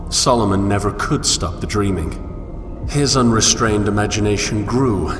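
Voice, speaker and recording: A man's voice: on the low side, a little slow, close to an everyday voice. A man playing a solitary, brooding wanderer who muses in formal, eloquent language in a scripted game monologue. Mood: brooding, intimate. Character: solitary, brooding male wanderer musing philosophically on a fallen world. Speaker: narrator. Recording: long hall reverb, music underneath. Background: music.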